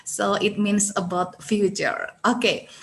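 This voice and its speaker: A woman's voice: mid-range voice, steady tone, a little slow. A middle-aged woman from Indonesia with an Indonesian accent, a native Indonesian speaker, giving a prepared talk as a panel speaker. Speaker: panel speaker, community founder. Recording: faint background noise.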